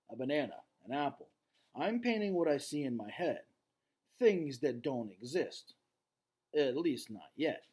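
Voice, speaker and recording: A man's voice: medium pitch, a little mellow, slightly rough. A man speaking as a teacher reading aloud to students, conversational and casual, often checking in with his listeners as he comments.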